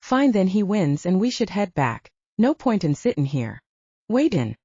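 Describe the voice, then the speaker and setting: A cocky male soldier, played by a woman narrator, playful and pragmatic in an audiobook drama. He banters and coaxes casually with very animated pitch and a warm, dark tone. The recording is slightly dull. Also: a little slow, breathy edge, no echo, full character voice. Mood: challenging.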